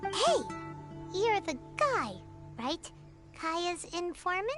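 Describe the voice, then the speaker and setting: A woman voicing a tiny, childlike, cheeky girl companion in an anime video game, squeaky and excitable. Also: slow, heavily acted. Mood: indignant. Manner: refers to self in third person, exclaims. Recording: game music behind the voice.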